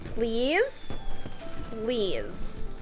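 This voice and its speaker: A woman's voice: a little high, huge pitch swings, drawn-out words, faint music behind. A woman live streamer reacting casually and emotionally to a game, with a dramatic, exclamatory manner.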